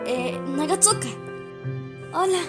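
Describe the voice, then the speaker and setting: A woman's voice: very high voice, animated, slow pace, music in the background, exaggerated voice. A woman playing a girlish teenage schoolgirl working in a shop, in an amateur manga dub. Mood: shy. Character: bossy but shy teenage girl shop worker. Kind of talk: amateur manga fandub acting.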